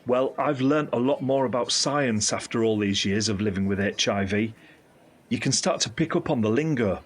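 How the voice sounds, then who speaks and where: An actor playing a candid, caring middle-aged uncle in an audio drama, speaking in an earnest, reflective, explanatory way. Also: male voice, mid-range voice, moderate pace, close to an everyday voice. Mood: hopeful, informative. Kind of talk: scripted audio drama dialogue.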